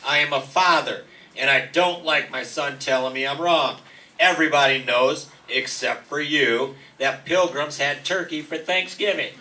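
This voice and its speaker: Guy acting angry neighbor dad in a radio comedy, gruff n sharp, echoey off-mic. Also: a little high, normal speed. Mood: angry, indignant. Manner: gruff, confrontational. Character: angry, indignant male neighbor and father. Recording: echoey room, distant mic.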